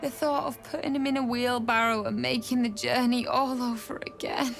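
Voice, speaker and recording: An actress voicing a grieving young widow in a video game, speaking in a tearful, hushed way. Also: female voice, fairly high pitch, fairly even intonation, normal speed, gravelly, faint music behind.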